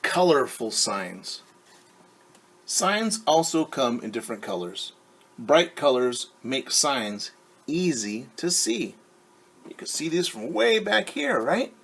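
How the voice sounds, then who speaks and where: A man reading a picture book aloud to young children as their teacher, patiently asking them questions and pausing for answers. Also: mid-range voice, big pitch swings, gravelly, a bit bright, breathy edge. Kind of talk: children's storytime read-aloud.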